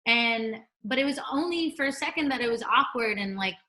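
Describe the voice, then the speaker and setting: A woman's voice: a little high, expressive, brisk, bright, forceful tone. A woman casually reminiscing in a loose, conversational way over a video call.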